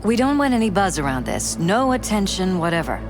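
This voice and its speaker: An actress playing a poised, skeptical, weary politician's wife in a video game, composed and dryly matter-of-fact. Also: female voice, medium pitch, big pitch swings, brisk, natural voice. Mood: guarded, matter-of-fact. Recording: music behind.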